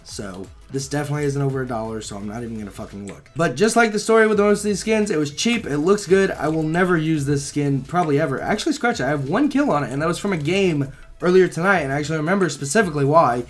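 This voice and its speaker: A slightly hoarse young man rambling through casual gameplay commentary, swearing freely, his mic held close to his mouth. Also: a little high, lively intonation, fast talker, faint music behind. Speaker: gaming channel host.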